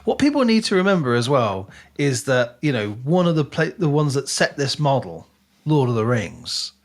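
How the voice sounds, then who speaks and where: A man speaks at a middling pitch as a podcast co-host. He is opinionated and emphatic, doubling up on words to press his point in an informal discussion. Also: big pitch swings, moderate pace.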